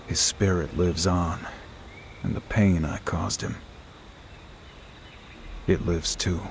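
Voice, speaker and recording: A man's voice: sits low, fairly even intonation, slow pace, vocal fry, slight background noise, exaggerated voice. An actor playing a guilt-ridden swordsman on the run in a video game, speaking in a terse, grave and weary way. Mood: regretful. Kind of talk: dramatic video game acting. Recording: game music behind the voice. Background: game music.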